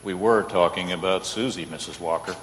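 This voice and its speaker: A man's voice: deep voice, fairly quick, a bit bright. A man playing an affable, apologetic neighbor in a radio play, speaking hesitantly. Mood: sheepish, apologetic. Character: affable, sheepish male neighbor, apologetic and easygoing. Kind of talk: old-time radio play acting. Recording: echoey hall with faint ambient noise.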